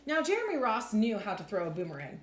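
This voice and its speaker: A woman reads a children's storybook with very lively, swinging intonation. Her manner is animated and expressive, as in a teacher's storytime. The room is echoey, with faint noise behind her. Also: mid-range voice, fast talker. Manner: animated, clearly acts out lines. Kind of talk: expressive storybook read-aloud.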